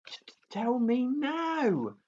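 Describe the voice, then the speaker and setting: A man's voice: very high-pitched, wildly swooping pitch, slow, darkish tone, dull audio, voice pushed up, full character voice. A man voicing a small, grumpy, boastful young male dragon who stammers on first sounds. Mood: whiny.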